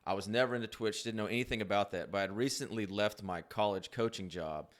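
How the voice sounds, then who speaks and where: A man's voice: fairly low pitch, fast. A man hosting a podcast, rambling easily with many restarts. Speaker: podcast host. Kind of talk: casual chat.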